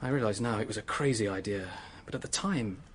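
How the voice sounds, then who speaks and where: A man's voice: on the low side, a little fast, slightly rough. An actor in a radio drama playing a desperate, remorseful young man, speaking in an earnest, pleading way. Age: young adult. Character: desperate, remorseful young male clerk confessing to a killing.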